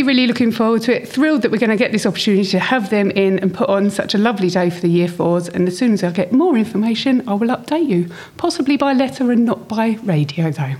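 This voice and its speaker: A woman's voice: mid-range voice, a little mellow. A woman teacher giving parents a friendly, slightly nervous update about a class day out.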